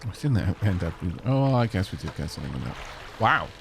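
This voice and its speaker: A man's voice: low voice, very animated, fairly quick, noisy background. Wry man streaming a game. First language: Welsh. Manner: chatty, wry, talks to chat. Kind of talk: casual gameplay commentary.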